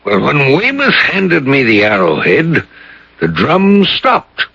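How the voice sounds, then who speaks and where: Gravelly, husky old-radio colonel. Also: male voice, medium pitch, wildly swooping pitch, takes it slowly, bright, forceful tone, full character voice. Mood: grave, musing. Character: earnest, superstitious retired colonel, male, grave storyteller. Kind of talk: radio drama acting. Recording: dull, roomy old radio broadcast.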